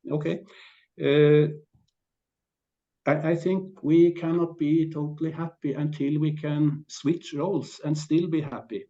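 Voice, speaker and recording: Halting, gravelly Norwegian-accented man presenting. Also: on the high side, flat delivery, dark tone, lo-fi sound. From Norway. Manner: halting, repeats words, frequent throat clearing. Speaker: invited conference speaker. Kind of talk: conference presentation with slides.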